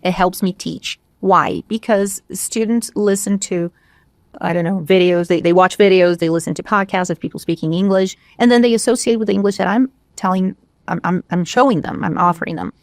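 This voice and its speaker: A woman's voice: on the low side, brisk, no echo, clean studio sound. A woman from Brazil, a native Portuguese speaker with a slight Brazilian accent, talking casually and emphatically as an English teacher in a discussion.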